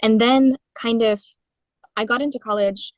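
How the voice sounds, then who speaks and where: A young woman in her twenties from India, telling animated stories in an interview as a guest singer-songwriter. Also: a little high, measured pace, no room sound. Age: 20s. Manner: animated storytelling with frequent laughs and fillers. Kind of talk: conversational interview.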